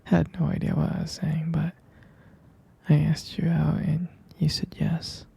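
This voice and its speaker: A man playing a doting, teasing vampire boyfriend in an ASMR roleplay, murmuring tender pet names in a breathy, husky, hesitant whisper. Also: on the high side, completely flat delivery, a little slow, mellow, no room sound, heavily acted. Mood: fond, teasing.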